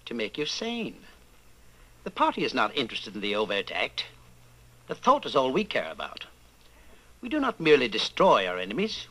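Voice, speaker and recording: A man as a sharp-voiced, menacing inquisitor. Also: medium pitch, poor audio. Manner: measured, condescending, commanding. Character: coldly patient, menacing male inquisitor of a totalitarian party. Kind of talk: old-time radio drama acting.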